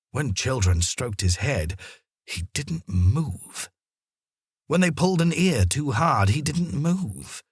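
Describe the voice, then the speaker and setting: A man narrating a children's story in an expressive storytelling manner, with deliberate pauses. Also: medium pitch, breathy edge, dry recording, clean studio sound. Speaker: audiobook narrator.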